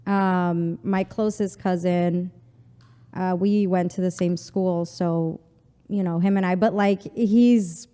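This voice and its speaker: A woman's voice: fairly low pitch, fairly even intonation, slow, dark tone, clear, resonant tone, long reverb tail. A young woman from a Texas border town, whose first language is Spanish, chatting casually as an interview guest with lots of "like" and "you know".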